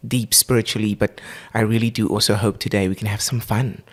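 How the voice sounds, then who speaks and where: A man's voice: fairly low pitch, fairly even intonation, a little fast. South African man, earnest and heartfelt. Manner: earnest, speaks in spiritual, honoring phrases. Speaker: podcast guest. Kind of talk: warm, earnest conversational storytelling.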